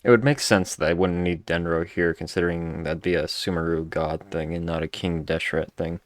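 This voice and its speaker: A man's voice: low-pitched, fairly even intonation, a little fast. A man giving casual, laid-back, slangy commentary as he plays a video game. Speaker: gamer, video host.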